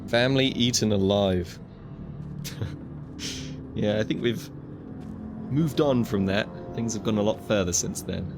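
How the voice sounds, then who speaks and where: A man's voice: fairly low pitch, unhurried, pauses often, background noise. A young man casually commenting while playing a VR game, chatty and joking, with wry asides to the viewer. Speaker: gaming video host. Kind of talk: casual let's-play commentary.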